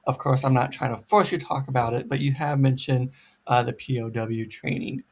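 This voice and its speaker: A man conducting an oral history interview, asking careful, gentle questions. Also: medium pitch, steady tone, brisk.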